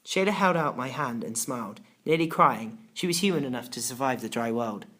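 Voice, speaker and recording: A woman's voice: extremely low pitch, expressive, a little fast, a bit bright. A woman narrating a children's story in the first person, reading in a dramatic, emotive way. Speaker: audiobook narrator.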